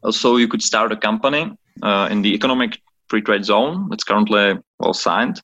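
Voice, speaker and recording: A man's voice: lowish voice, normal speed, sharp tone, lo-fi sound. A man from the Republic, a podcast guest who talks hesitantly and searches for words. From Czech Republic. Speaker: guest, chief of staff. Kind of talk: podcast interview. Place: office in Prague, on a call.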